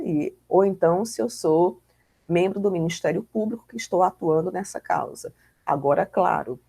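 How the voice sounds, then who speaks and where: A Brazilian woman lectures in a didactic but conversational manner, with frequent tag questions. Her voice is mellow and dark, with a gravelly creak running through it. The sound is close and dry. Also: low-pitched, no echo. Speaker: law lecturer. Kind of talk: informal online lecture explaining with examples.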